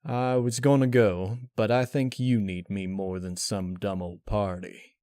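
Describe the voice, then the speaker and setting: A man's voice: lowish voice, fairly even intonation, unhurried, dark tone, no room sound, clean studio sound, close to an everyday voice. A man voicing a kindly, plain-spoken big farm pony in a story reading. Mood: gentle, plain.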